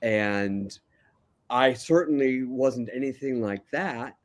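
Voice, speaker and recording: An older man tells his story in a relaxed, easygoing way. His voice sits at a medium pitch, and he stays calm as he talks through the past. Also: slow. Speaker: interview guest. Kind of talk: casual storytelling.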